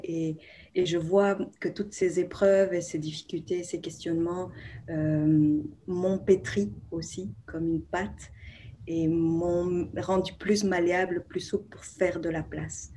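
A woman in her forties, a therapist, giving slow, reflective interview answers with many pauses and hesitations. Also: lowish voice, dark tone, a little hiss or hum. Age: 40s. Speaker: therapist guest.

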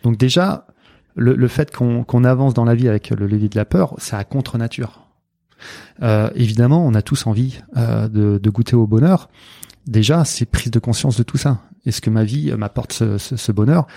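A man's voice: mid-range voice, moderate pace, creaky, mellow, dry recording, studio quality. A middle-aged man with a Marseille accent, speaking as an interview guest and coach, hesitant with many fillers and restarts. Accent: Marseille French. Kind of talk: casual interview talk.

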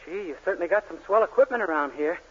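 A man's voice: high voice, expressive, quick pace, breathy edge. An actor playing a naive, eager young man who wisecracks innocently in a 1940s radio comedy. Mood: eager, bluffing. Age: young adult. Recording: muffled, noisy 1940s radio recording.

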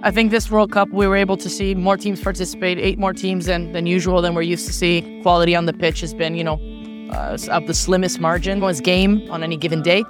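Woman from Canada, coach guest, rapid speech, music running underneath. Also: fairly low pitch. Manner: energetic, fast, frequent restarts and fillers. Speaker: guest, soccer coach and former international player. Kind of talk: podcast interview answers.

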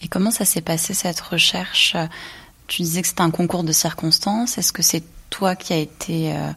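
Low-voiced woman hosting an interview. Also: steady tone, moderate pace, fairly bright tone, breathy edge. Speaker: host. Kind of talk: scripted intro and interview questions.

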